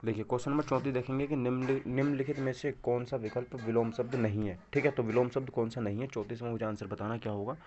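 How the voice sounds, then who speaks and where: A man's voice: medium pitch, fairly even intonation, quick pace, few pauses, darkish tone. A man teaching in an instructional style, going through quiz questions and repeating answers with short check questions. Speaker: exam coaching teacher. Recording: dull, lo-fi microphone sound.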